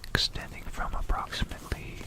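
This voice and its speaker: An ASMR host whispering an educational history talk in an unhurried, explanatory manner, close to a stereo microphone. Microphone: close stereo binaural microphone.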